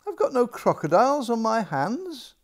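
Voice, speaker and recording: A small, indignant princess from a storybook, as an older man reads her. The voice is lifted in pitch and full of stubborn questions and protest. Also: wildly swooping pitch, moderate pace, full character voice. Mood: questioning.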